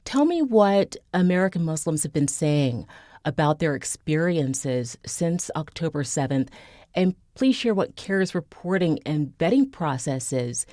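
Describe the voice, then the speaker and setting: A woman with a very low, warm voice asks a formal question as a TV interviewer. The sound is dry and close. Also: expressive, a little slow, no room sound.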